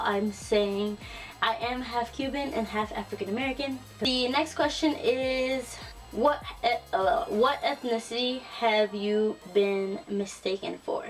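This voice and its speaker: A young woman chats casually to the camera, relaxed and full of ums and self-corrections. She sits a little back from the mic, so her voice sounds somewhat distant. Faint music plays softly underneath. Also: mid-range voice. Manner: relaxed and chatty, frequent ums and restarts. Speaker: YouTuber. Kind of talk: casual tag video.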